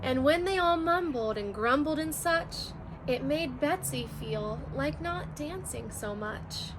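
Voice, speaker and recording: High-voiced woman reading a rhyming story. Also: expressive, slow, background noise. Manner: sing-song, rhythmic storytelling. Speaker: teacher reading to children. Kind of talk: reading a rhyming picture book aloud.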